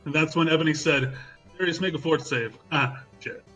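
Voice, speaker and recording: Brief: male tabletop player, higher-pitched voice, rambles and restarts, laughs through his points. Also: normal speed, a bit bright, soft background music. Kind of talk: casual chat during an online game session.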